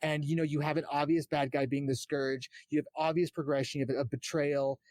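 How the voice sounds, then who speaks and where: A man's voice: on the high side, level tone, a little fast, darkish tone, dry recording. A man chatting casually as a podcast guest, earnest and a bit hesitant, often restarting his thoughts. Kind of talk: casual chat about games and films.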